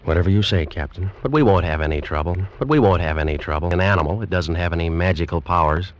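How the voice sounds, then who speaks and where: A man's voice: deep voice, quick pace, natural voice. An American man playing a determined, uneasy snake collector and narrating his adventure in 1940s radio style. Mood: businesslike, firm. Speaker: actor playing the lead and narrator. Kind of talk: 1940s radio acting, first-person narration. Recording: dull vintage radio recording with music.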